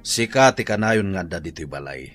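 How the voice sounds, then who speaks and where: A man's voice: low voice, expressive, normal speed, fairly bright tone, soft background music. An actor playing a reproving, no-nonsense husband and father in a radio drama.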